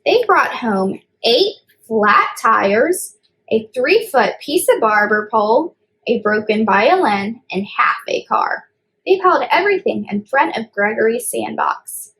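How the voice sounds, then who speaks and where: A woman's voice: a little high, big pitch swings, a little slow, fairly bright tone, low-quality recording. A woman hosting storytime as a children's librarian, reading aloud and explaining things in simple phrasing for young children.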